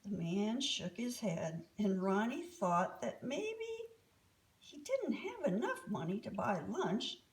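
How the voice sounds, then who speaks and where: A woman reading a children's story aloud as an expressive storyteller. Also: a little high, slow, low-quality recording.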